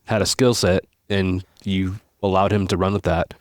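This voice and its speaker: A man hosting a podcast interview, affirming his guest with quick, upbeat replies and praise. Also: low voice, level tone, no echo, studio quality. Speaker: podcast host.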